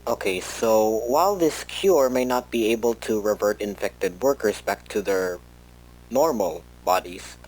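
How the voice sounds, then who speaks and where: A man's voice: on the low side, big pitch swings, a little slow, darkish tone, low-quality recording. A man playing a researcher recording an informal log tape, talking in a hesitant, conversational way and often interrupting himself. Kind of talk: in-character monologue for a fan horror audio log.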